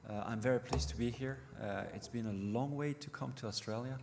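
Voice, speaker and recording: A middle-aged man with a French accent, a non-native English speaker, giving a conference presentation in a halting way with many fillers and restarts. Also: mid-range voice, vocal fry. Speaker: panel speaker. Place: conference hall. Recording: reverberant hall with faint noise.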